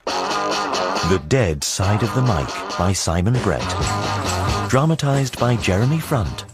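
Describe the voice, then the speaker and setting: Low, animated male radio announcer. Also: takes it slowly. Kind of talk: scripted announcement of credits. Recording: music bed under speech.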